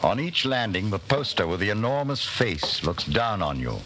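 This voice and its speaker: An actor as the foreboding narrator of a dystopian 1940s radio drama, dramatic and deliberate. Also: male voice, lowish voice, sing-song. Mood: ominous. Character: ominous second-person narrator of a dystopian tale. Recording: hissy, noisy 1949 radio recording.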